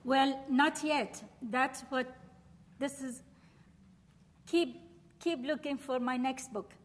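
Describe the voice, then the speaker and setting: Syrian woman, arabic her first language, slightly high voice, formal careful talk, long hall echo. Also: steady tone, slight background noise. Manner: measured, earnest, formal phrasing. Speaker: visiting scholar and lecturer. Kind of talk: lecture and question session. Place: lecture room.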